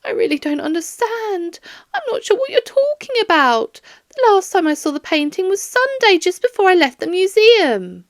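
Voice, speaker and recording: A woman's voice: very high voice, very animated, moderate pace, no room sound, studio quality, pitched above the performer's own voice. A woman voicing a flustered, doting older woman who works at a museum, in a rambling, plaintive and baffled manner, for an audiobook. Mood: baffled, protesting. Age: 60s.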